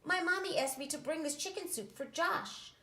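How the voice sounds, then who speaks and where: A woman's voice: sits high, expressive, normal speed, bright, forceful tone, echoey room, pitched above the performer's own voice, full character voice. A woman voicing a kind, earnest, friendly neighbor child in a children's storybook.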